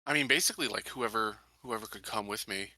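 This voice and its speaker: Very bright, low-pitched man playing a tabletop game. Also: fast talker, no echo, poor audio. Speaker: player in a tabletop roleplaying game. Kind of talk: casual in-game discussion.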